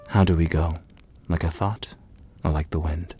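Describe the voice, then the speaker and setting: A man voicing a wise, loyal magic horse in a children's fairy tale, commanding and protective, with a very deep voice. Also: fairly even intonation, moderate pace, mellow, faint music behind. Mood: questioning.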